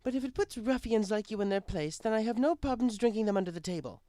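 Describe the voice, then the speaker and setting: A woman voicing young female cartoon ponies in an expressive story reading. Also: on the high side, fast talker, no room sound, studio quality, natural voice. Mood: prim, huffy. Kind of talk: animated character dialogue in a fan fiction reading.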